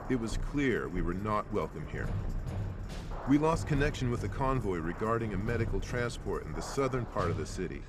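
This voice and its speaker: An actor playing a grave soldier who narrates a war mission in a measured, solemn, scripted way. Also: male voice, medium pitch, lightly acted.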